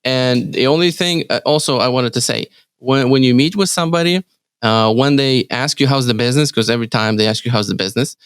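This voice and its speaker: A man from Ukraine speaking English as his second language with a Ukrainian accent, answering interview questions in a halting way with many restarts and fillers. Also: medium pitch, smooth, dry recording, studio quality. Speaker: real estate team leader, guest.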